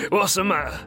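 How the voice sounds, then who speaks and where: A sleazy, animated British hotel guest. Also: male voice, high-pitched, heavily acted. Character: crude, sleazy British male hotel guest, mocking and cowardly. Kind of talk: audio drama acting.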